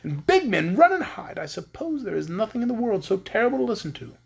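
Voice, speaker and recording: A big, touchy storybook beast, proud of itself and prone to grand boasts, as a woman puts it on. The voice swoops widely in pitch. Its tone is breathy. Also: big pitch swings, a little fast, exaggerated voice. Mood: boastful.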